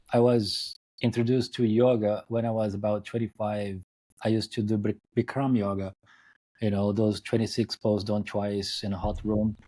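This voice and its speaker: A middle-aged man from Brazil with a Brazilian Portuguese accent, a guest yoga teacher telling his story in an unhurried way over a video call, with many fillers and restarts. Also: on the low side, warm tone. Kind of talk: interview storytelling. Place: home, joining remotely.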